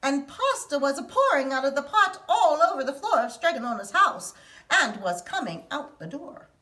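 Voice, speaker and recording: Expressive woman reading a children's story. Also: sits high, moderate pace. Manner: expressive, sing-song storytelling. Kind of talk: storybook read-aloud for children.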